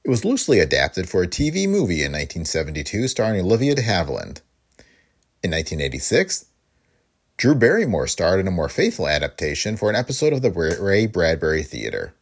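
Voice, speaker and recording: Deep, gravelly male podcast host. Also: wildly swooping pitch, quick pace. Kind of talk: scripted narration.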